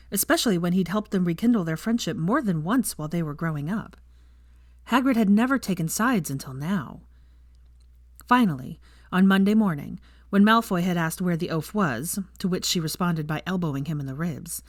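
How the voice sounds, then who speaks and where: A woman narrates a story in audiobook style. Her voice sits fairly low, and the sound is dry and close, with very little room in it. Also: no echo. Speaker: fan fiction narrator.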